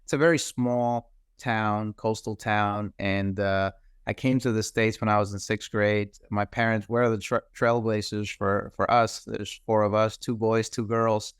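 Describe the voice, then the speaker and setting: Earnest, smooth-voiced man from the Dominican Republic. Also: on the low side, steady tone, no echo, clean studio sound. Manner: measured, earnest, pauses with frequent "uh". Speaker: guest, cybersecurity executive. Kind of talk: reflective personal storytelling.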